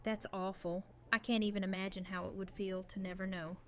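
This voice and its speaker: A woman playing a guarded but warm farm-raised young survivor in an audio drama, talking in dialogue. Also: mid-range voice, level tone, a little fast, close to an everyday voice. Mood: somber, sympathetic.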